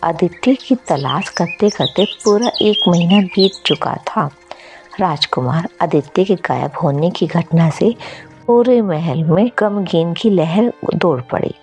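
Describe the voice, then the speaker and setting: Slow, low-pitched woman storyteller. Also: lively intonation, darkish tone, slightly rough, faint music behind. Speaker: story narrator. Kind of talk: scripted storytelling narration.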